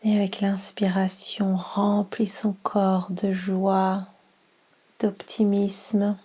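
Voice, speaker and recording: Slow, breathy woman guiding relaxation. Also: medium pitch. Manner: slow, calm, soothing instructions with long pauses. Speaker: yoga nidra instructor and guide. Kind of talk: guided relaxation meditation.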